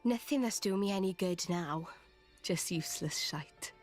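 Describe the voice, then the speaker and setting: A woman's voice: on the low side, normal speed, faint music behind, close to an everyday voice. A woman voicing a feisty, blunt young former noblewoman in a video game, with a cheeky, informal way of talking. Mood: scornful.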